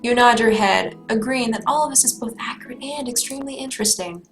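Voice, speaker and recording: Playful woman reading a story on stream, giggles a lot, slightly high voice, soft music behind. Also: fast talker. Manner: giggly, playful, laughs between lines. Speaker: streamer reading a choose-your-own-adventure book. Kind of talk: live read-aloud with asides.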